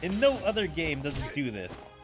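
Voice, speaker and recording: Male gaming streamer, casual commentary, higher-pitched and very animated, music behind. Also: moderate pace.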